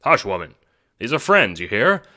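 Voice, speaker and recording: A man's voice: fairly low pitch, sing-song, normal speed, pushed and bright, pitched above the performer's own voice. Man voicing a blustery, bossy old adventurer. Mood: grumbling. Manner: bossy, grumbling, old-fashioned blustery talk. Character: brash, gruff, gleeful old male adventurer with a gun. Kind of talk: audio drama character acting.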